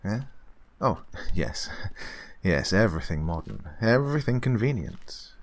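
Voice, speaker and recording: Male actor, suave murderous employer, low gravelly voice, oily and gloating, fussy repetition, radio horror play. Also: sing-song, measured pace. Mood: eager, oily. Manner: fussy repetition, oily politeness, chuckling menace. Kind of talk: old-time radio horror acting.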